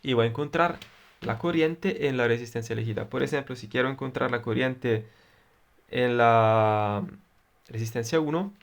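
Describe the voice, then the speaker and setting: Man, Italian accent, native Italian, electronics tutor, gravelly, deliberate and halting. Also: medium pitch. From Italy. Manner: deliberate, halting, many fillers. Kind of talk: explaining circuits at a whiteboard.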